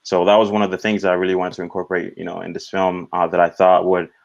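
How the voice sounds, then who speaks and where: A native Swahili-speaking man with a very low voice gives casual interview answers as a film director. He talks haltingly, padding his phrases as he goes. The audio is dull and lo-fi. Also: monotone, creaky. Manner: halting, repeats "you know".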